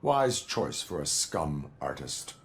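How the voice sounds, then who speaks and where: Deep, deadpan cyborg policeman. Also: takes it slowly, noisy background, full character voice. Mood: stern, flat. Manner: stiff, deadpan, formal. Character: stoic armored cyborg policeman. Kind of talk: video game acting.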